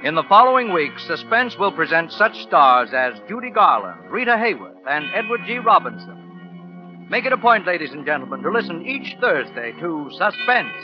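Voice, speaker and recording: High, swooping vintage radio announcer man. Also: very animated, normal speed. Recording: muffled vintage radio recording. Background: music.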